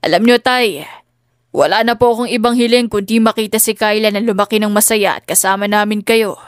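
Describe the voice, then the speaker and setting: Babaeng gumaganap na malambing na asawang lalaki at ama. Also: female voice, mid-range voice, steady tone, fairly quick, no room sound, clean studio sound, lightly acted. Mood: fond. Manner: tender, reassuring. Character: affectionate, devoted husband and young father.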